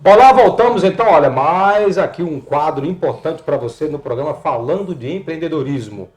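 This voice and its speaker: A man's voice: fairly high pitch, lively intonation, brisk, darkish tone. A man from Bahia, Brazil, hosting a live interview show in a chatty, colloquial way, speaking straight to viewers. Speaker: TV show host.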